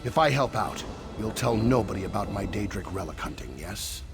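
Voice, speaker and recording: An actor voicing a furtive, nervous lizard-folk relic hunter who looks out for himself, in a fantasy video game. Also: male voice, lowish voice, lively intonation, normal speed. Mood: furtive, wary. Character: shifty, self-preserving male lizard-folk relic hunter with a tail. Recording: music behind the voice. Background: game music.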